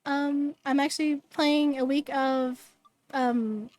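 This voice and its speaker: Bubbly high-voiced woman streamer, a bit hoarse. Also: fairly even intonation, takes it slowly, a little mellow, dry recording. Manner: bubbly, chatty, stumbles over words. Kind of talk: live stream, reading game text and greeting chat.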